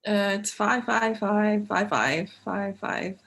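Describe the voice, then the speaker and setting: Woman on a call giving short unsure answers, talks slow. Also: mid-range voice, vocal fry, fairly bright tone. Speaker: role-play partner playing a past client.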